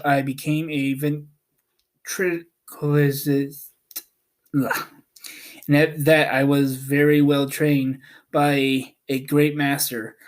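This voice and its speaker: Guy reading as a humbug wizard from a storybook, apologetic little man, explains things slowly. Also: medium pitch, close to an everyday voice.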